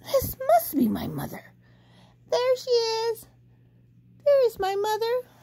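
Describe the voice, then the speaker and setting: A woman voicing a small lost baby bird who keeps searching for its mother, high and pleading. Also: big pitch swings, measured pace, a little mellow, full character voice. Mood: excited.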